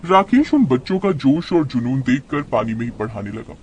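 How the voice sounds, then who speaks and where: Animated male storybook narrator. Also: mid-range voice, moderate pace, a little hiss or hum.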